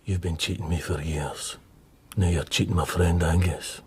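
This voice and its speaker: A man's voice: low voice, fairly even intonation, normal speed, noisy background, natural voice. An actor playing a male gangland villain in a radio drama, mocking and threatening. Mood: accusing.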